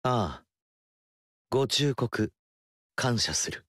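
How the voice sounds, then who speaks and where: Game VO: a mild young ruler, slow, lively pitch, polite. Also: male voice, dry recording, clean studio sound, exaggerated voice. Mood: gentle. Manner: soft-spoken, polite and old-fashioned. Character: young male ruler, gentle and self-effacing. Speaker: game character. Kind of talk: game dialogue acting.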